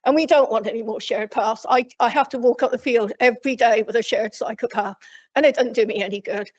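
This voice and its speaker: A woman from Cornwall speaking loudly and plainly from memory to a council committee, rambling with frequent restarts. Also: fairly high pitch, steady tone, a little fast. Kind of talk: unscripted address from memory. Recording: dull lo-fi council meeting recording.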